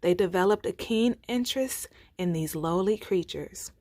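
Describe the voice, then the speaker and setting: A woman reading folktales aloud for children as a storyteller, with deliberate, theatrical phrasing. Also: lowish voice, a little mellow, no echo. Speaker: storytime reader.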